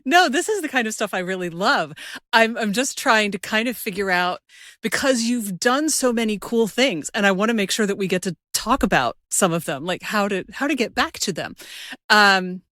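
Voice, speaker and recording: A woman with a mid-pitched voice hosts a relaxed conversation. She feels her way thoughtfully and tentatively through her questions, and the recording is dry with no room sound. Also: normal speed, no echo. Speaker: podcast host, interviewer. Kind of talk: casual conversational interview.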